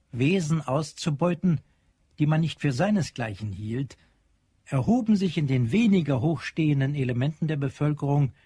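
A man narrating a German radio play as literary storyteller, solemn and measured. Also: medium pitch, dark tone, slightly rough, no room sound.

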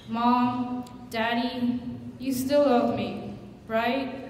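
Brief: actress playing a tearful teen girl, halting and pleading, slightly high voice, echoey hall from afar. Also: little pitch movement, a little mellow. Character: frightened teenage girl confessing to her parents. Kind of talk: stage monologue. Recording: echoey hall, distant mic, faint noise.

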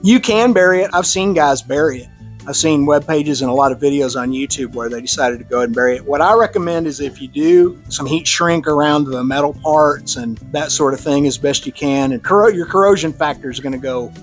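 A man with a Texan accent talks through a hands-on build in a loose, friendly way, fillers and restarts and all. His voice sits a little high for a man. Faint music runs underneath. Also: fairly quick. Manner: relaxed, conversational, with fillers and restarts. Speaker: club presenter on radio antennas. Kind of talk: casual technical presentation. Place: club meeting room.

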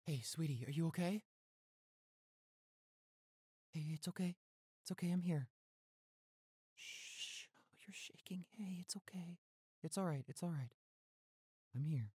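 A man's voice: fairly high pitch, normal speed, pauses often, mellow, husky, no echo. Man acting protective young boyfriend, dry close sound. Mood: soothing, worried. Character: protective, tender young boyfriend, fierce toward bullies. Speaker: audio roleplay voice actor. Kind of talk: intimate boyfriend audio roleplay acting. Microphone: close studio microphone.

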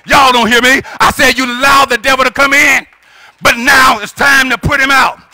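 A man's voice: very high voice, little pitch movement, moderate pace, no echo. A middle-aged American man preaching an impassioned sermon as a pastor, emphatic and exhorting at a pulpit microphone. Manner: emphatic, exhorting, often rising into shouts. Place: church sanctuary, at the pulpit. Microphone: gooseneck pulpit microphone.

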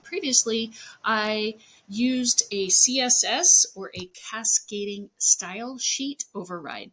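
A woman narrating a screen-share software tutorial, explaining things step by step. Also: mid-range voice, low-quality recording.

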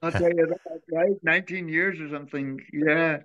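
An older man with a bright, forceful voice pitched a little high, talking freely as an interview guest. He rambles warmly and with enthusiasm. The sound is dull and lo-fi, like a laptop mic on a video call. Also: fairly even intonation, moderate pace. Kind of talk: casual interview chat. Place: home room, video call.

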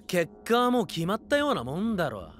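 An actor playing a brash, cocky gang-boss warlord in an anime audio drama, with rough slangy speech and swagger. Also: male voice, high-pitched, fairly bright tone, a little hiss or hum, exaggerated voice. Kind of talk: Japanese anime voice drama acting.

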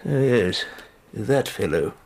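Radio play: a prim, slow-spoken English house agent. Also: male voice, lowish voice, expressive, darkish tone, full character voice. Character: prim older male house agent, cautious. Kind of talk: 1990s radio drama acting.

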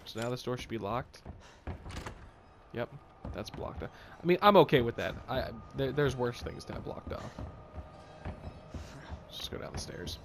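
A man's voice: medium pitch, lively intonation, moderate pace, long pauses, slight background noise. A man giving casual, playful video game commentary, goofily talking to himself as he plays. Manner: playful, goofy, talks and sings to himself. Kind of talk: casual let's play commentary.

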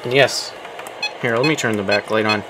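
A man giving casual, off-the-cuff commentary, thinking aloud in a halting, puzzled way. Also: fairly low pitch, steady tone. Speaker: vlogger, weather radio hobbyist. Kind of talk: casual off-the-cuff commentary while demonstrating gadgets. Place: small room indoors. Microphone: handheld camera microphone. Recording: very noisy camera audio, radio static.

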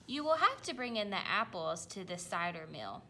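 A woman's voice: mid-range voice, sing-song, moderate pace, bright, forceful tone, a little hiss or hum. A woman voicing a practical farm horse in a storybook, instructive and matter-of-fact.

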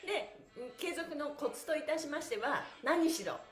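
Middle-aged woman, very lively intonation, upbeat exercise host, courteous, echoey small room, faint music behind. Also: sits high, moderate pace. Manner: animated, cheerful, very polite honorific wording, frequent chuckles. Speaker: stretching instructor and livestream host. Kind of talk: guided exercise instruction with counted chants and chatty asides. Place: small plain indoor room, sitting on a mat. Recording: roomy echo, faint music.

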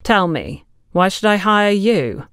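A woman voicing a stern, frank middle-aged businesswoman in an audiobook, brisk and no-nonsense. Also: mid-range voice, very animated, fairly bright tone, clean tone, no room sound, clean studio sound. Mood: stern, skeptical.

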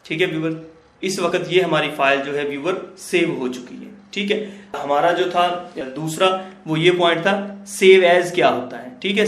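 A man giving a screen-recorded software lesson, explaining each step slowly and often checking in with his viewers. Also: fairly high pitch. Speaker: computer tutor. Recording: echoey room.

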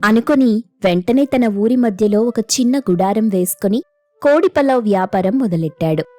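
Female narrator for moral tales, mid-pitched, scripted storytelling with faint music underneath. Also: mellow.

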